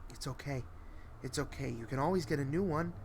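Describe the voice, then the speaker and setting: A worn-out husband from a horror tale, played by a male narrator, trying to soothe his wife. He is soft-spoken and careful, in a mid-range voice with noticeable noise behind it. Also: medium pitch, brisk, lightly acted. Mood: gently pleading. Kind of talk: dramatic horror story reading.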